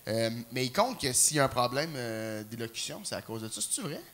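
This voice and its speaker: Animated Québécois comedian man. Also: mid-range voice, a little fast. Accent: Québécois French. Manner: joking, swearing, laughing. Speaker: comedian guest. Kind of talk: casual comedy podcast chat.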